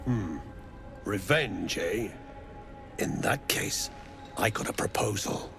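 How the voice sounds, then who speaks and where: Gravelly, menacing mercenary brute. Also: male voice, fairly low pitch, expressive, takes it slowly, a bit bright, soft background music, exaggerated voice. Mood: sly, conspiratorial. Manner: rough, taunting, colloquial. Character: menacing, greedy, crude mercenary slave-driver. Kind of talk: video game voice acting.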